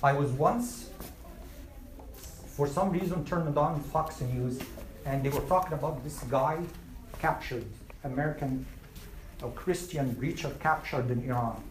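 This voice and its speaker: A man's voice: mid-range voice, unhurried, long pauses. A man from Egypt, teaching a class, emphatic and repeating his points. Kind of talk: classroom storytelling. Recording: echoey room, faint noise.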